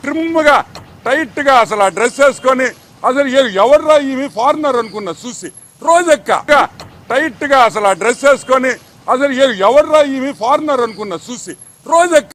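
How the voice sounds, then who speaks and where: High-pitched sarcastic man. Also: lively intonation, moderate pace, fairly bright tone. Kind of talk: mocking political commentary.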